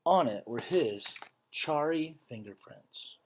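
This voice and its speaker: A man's voice: fairly high pitch, lively intonation, takes it slowly, darkish tone, husky. Man reading a story aloud. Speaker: teacher reading aloud to students. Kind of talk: picture book read-aloud with casual explanatory asides.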